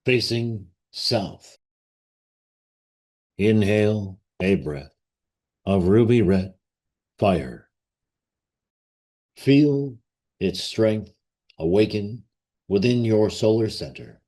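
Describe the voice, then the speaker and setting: Man, lowish pitch, very slow pace, long pauses, solemn, reverent, ceremonial guided meditation. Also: expressive, warm tone.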